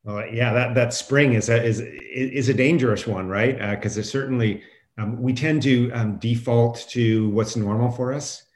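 A man's voice: mid-range voice, fairly even intonation, moderate pace, a little mellow. A man speaking as an expert guest in an unscripted interview, explaining his points with emphasis. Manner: explanatory, emphatic, quotes imagined objectors. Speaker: guest professor and climate scientist. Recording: roomy, echoing sound.

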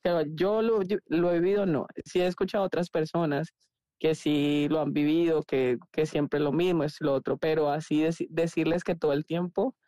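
Mellow Colombian woman in her thirties. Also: sits low, steady tone, normal speed, no echo. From Cali, Colombia. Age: 30s. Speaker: podcast guest. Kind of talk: casual conversational interview.

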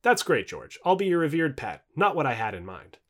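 A man's voice: a little high, big pitch swings, fairly quick, fairly bright tone. A man voicing a skeptical, sarcastic present-day woman in an audio book dialogue. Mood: wry. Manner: wry, sarcastic, questioning.

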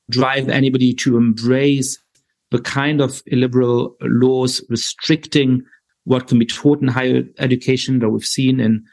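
A male podcast host speaks in a measured, thoughtful way. He poses long, carefully framed questions to his guests. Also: mid-range voice, creaky. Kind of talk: podcast interview.

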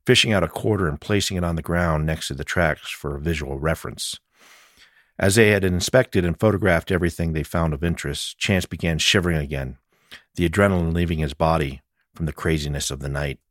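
Guy reading his mystery novel, really low voice, super dry sound. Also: fairly quick, fairly bright tone, no echo. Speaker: author reading own audiobook. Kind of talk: scripted fiction narration.

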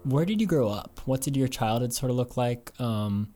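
A man's voice: medium pitch, expressive, normal speed, mellow, faint music behind. A man hosting a podcast, introducing his guest in a loose, casual way full of fillers. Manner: casual, hedging with many fillers. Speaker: podcast host.

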